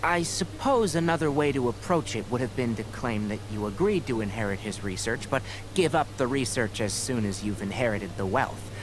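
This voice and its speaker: An actor playing a sensible, composed young scholar in a video game, articulate and dryly teasing. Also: male voice, mid-range voice, lively intonation, moderate pace, hardly any pauses, background noise, close to an everyday voice. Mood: reassuring. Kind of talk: English game voice acting.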